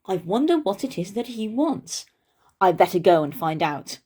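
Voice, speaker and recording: A woman's voice: mid-range voice, big pitch swings, moderate pace, a little mellow, breathy edge. Woman reading as proud, vengeful storybook man. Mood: wondering. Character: vengeful, proud, easily flustered male borrower.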